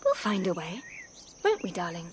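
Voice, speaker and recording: A woman's voice: on the low side, wildly swooping pitch, moderate pace, slight background noise. An actress playing an earnest, genteel young woman, polite in manner, in a radio drama. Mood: hopeful. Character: Nell, earnest, genteel young English nurse and wife.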